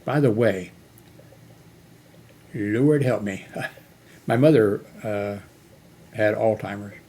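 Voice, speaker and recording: Slow, rambling man in his eighties. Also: lowish voice, dark tone, breathy edge. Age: 80s. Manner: meandering, many fillers and restarts. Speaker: channel host. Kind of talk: rambling personal monologue.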